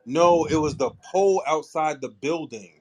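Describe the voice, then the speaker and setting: A man's voice: a little high, a little mellow, a little hiss or hum. A man hosting a podcast in casual chat, rambling and joking, sometimes reading a book passage aloud. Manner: reads passages aloud haltingly. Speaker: podcast host.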